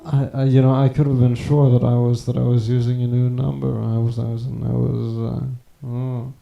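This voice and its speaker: A man rambling on a live stream, stammering and halting, with wordy, formal phrasing. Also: mid-range voice, flat delivery, creaky, echoey room, dull audio, faint music behind. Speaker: prank call stream host.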